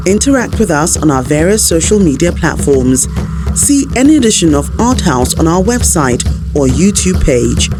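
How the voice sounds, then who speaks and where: A man reading a scripted promotional voice-over as an announcer. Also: fairly high pitch. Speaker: programme announcer. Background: clear music bed.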